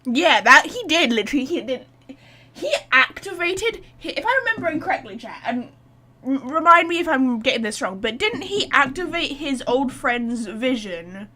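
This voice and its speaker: A woman's voice: high-pitched, very animated, moderate pace, pushed and bright. A woman doing casual livestream game commentary, blunt, joking and given to swearing. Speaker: game streamer.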